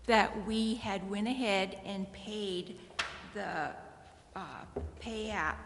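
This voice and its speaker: A woman on city staff explaining matters to a council in deliberate, halting phrases. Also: medium pitch, a bit bright. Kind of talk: explanatory report to a public meeting. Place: large indoor council chamber. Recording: reverberant hall with faint noise.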